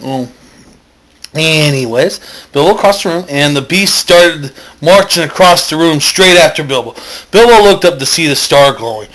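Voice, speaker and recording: A man's voice: fairly high pitch, lively intonation, normal speed, fairly bright tone. A man telling a comic story as host, rambling, correcting himself and wandering off on digressions. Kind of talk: comic, loosely improvised story narration.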